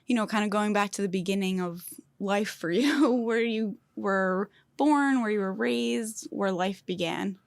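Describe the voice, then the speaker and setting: A woman's voice: medium pitch, measured pace, creaky, few pauses, dry recording. A woman hosting a podcast, who keeps the talk upbeat and encouraging and follows up with friendly questions and frequent laughs. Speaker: podcast host, interviewer. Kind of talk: casual interview chat.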